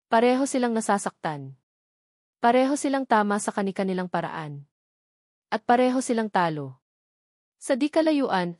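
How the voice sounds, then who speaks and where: Female narrator for dramatic love stories. Solemn, suspenseful, measured delivery, mid-range voice, very dry recording. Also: mid-range voice, a little mellow, no room sound. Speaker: narrator of a romance drama story. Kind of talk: scripted dramatic story narration.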